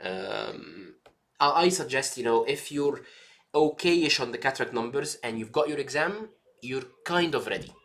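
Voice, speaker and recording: A man's voice: mid-range voice, animated, moderate pace, vocal fry, fairly bright tone. A man mentoring a trainee doctor in casual talk, reassuring, checking in often with "yeah" and "okay". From Egypt. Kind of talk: casual advice chat.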